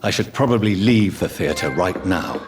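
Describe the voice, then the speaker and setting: Solemn vampire doctor, low voice, expressive range, formal and measured, cello-heavy music behind. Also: male voice. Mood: brooding, formal. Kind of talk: video game dramatic acting. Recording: loud brooding string music behind.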